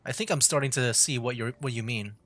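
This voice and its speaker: A man's voice: medium pitch, a bit bright. Casual man streaming a game, musing aloud. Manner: musing, halting, thinking aloud. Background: game music.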